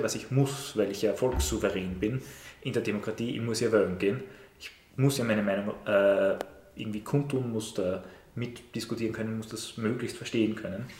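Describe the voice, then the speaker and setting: A middle-aged man, a teacher explaining his views in an interview, measured and hesitant with many fillers. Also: lowish voice, darkish tone. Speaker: teacher of Latin and Ancient Greek. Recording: roomy echo with faint noise.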